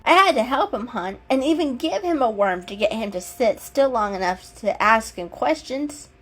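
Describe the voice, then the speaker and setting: Woman as inquisitive cat friend, storybook character, direct, animated, high-pitched. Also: normal speed. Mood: exasperated.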